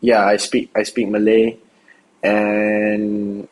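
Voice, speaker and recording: A young man from Singapore talks through interview questions in a loose, meandering way, circling back on his words. His voice is on the low side and a little husky. He is recorded outdoors. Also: monotone, slow pace, low-quality recording. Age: young adult. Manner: rambling, many fillers and restarts. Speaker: interview guest, rapper. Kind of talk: casual interview answers.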